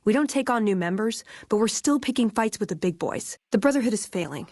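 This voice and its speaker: An American actress playing an earnest, wry young idealist in a video game, speaking with dry sarcasm and conviction. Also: female voice, mid-range voice, lively intonation, brisk, a little mellow, dry recording. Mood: earnest, worried. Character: earnest, wry young woman, idealistic tech-order scribe. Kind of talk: video game character dialogue.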